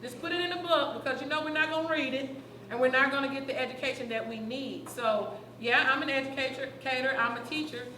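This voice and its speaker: A woman's voice: high voice, brisk, bright, forceful tone, slight background noise. Earnest woman in an echoey room. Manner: earnest, explains at length with fillers. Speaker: teacher speaking in a group discussion. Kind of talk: open discussion at a community event.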